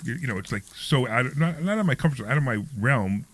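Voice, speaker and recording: A man's voice: medium pitch, expressive, fast, a bit bright. A man in his early sixties hosting a casual talk show, rambling and jokey as he repeats himself. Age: early 60s. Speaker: radio show host and real estate investor.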